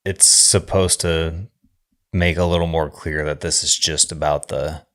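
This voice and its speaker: A man co-hosting a film podcast, talking casually in an informal, halting, thoughtful way. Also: a bass voice, steady tone, fairly bright tone. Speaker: podcast co-host discussing films. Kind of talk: casual chat analysing a film.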